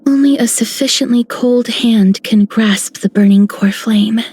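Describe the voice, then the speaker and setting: A woman playing a soft, melancholy, mysterious maiden in a video game, breathy and near-whispered. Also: a little high, unhurried. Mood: wistful, hushed.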